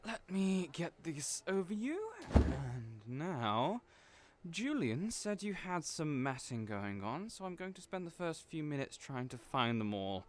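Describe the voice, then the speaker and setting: A man's voice: a little high, very animated, measured pace, slight background noise, voice pushed up. A man voicing a flamboyant, fussy male hairstylist who teases and mothers his clients in an audio roleplay. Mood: teasing.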